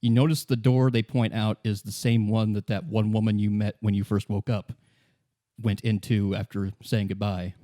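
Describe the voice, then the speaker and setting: A man's voice: lowish voice, level tone, normal speed, dark tone. A man narrating an improvised tabletop role-play as game master in an informal, explanatory way.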